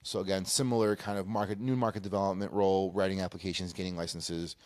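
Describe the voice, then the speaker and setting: Man, from Peru, lower-pitched voice, casual interview guest, halting and rephrasing, dry close sound. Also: steady tone, a little mellow, no room sound. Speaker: interview guest, cannabis industry consultant. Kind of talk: casual career recounting.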